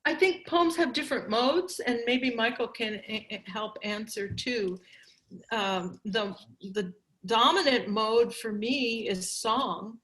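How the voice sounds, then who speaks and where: A woman's voice: a little high, slow, a bit bright, rough recording. Thoughtful woman poet, German native. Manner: thoughtful, searching for words. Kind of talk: answering audience questions at a poetry reading.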